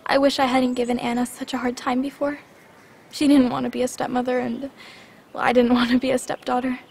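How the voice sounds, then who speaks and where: A woman's voice: high-pitched, level tone, brisk, creaky, darkish tone, slight background noise. A woman playing a grieving, guilt-ridden young girl in a dramatic video game, hesitant and confiding. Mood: regretful, confiding.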